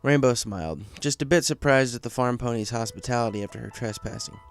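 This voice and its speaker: A man's voice: mid-range voice, quick pace. Man narrating a story over music. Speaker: fan fiction story reader. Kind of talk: scripted narration, storybook reading.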